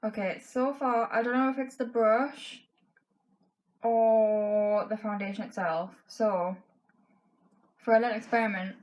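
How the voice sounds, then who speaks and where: A woman's voice: a little high, fairly even intonation, measured pace, pauses often, darkish tone, low-quality recording. A woman in her twenties talks straight to a camera in her bedroom, testing makeup as she goes. Her voice is worn and fading, and she speaks frankly, with plenty of fillers. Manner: chatty, frank, many fillers like "um" and "like". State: losing her voice. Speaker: beauty vlogger. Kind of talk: casual makeup tutorial chat. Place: bedroom, indoors.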